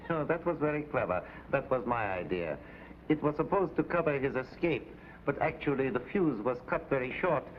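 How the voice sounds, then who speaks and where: An actor playing a smug, calculating hired assassin in a 1950s TV drama, speaking in a formal, haughty, precise way. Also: male voice, mid-range voice, lively intonation, normal speed, natural voice. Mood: smug confession. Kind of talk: 1950s television drama acting. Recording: dull, noisy old TV soundtrack.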